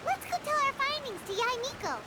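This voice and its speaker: A woman voicing a tiny, childlike, excitable fairy companion in an anime game, with a squeaky voice. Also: a little fast, heavy background noise. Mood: eager. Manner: squeaky, childlike, speaks of herself in third person. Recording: loud music behind the voice.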